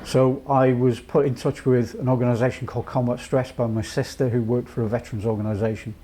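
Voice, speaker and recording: A man from North Wales in his late fifties, a charity chief executive giving a measured, reflective personal account with many pauses. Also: mid-range voice, level tone, dark tone. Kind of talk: personal testimony to camera.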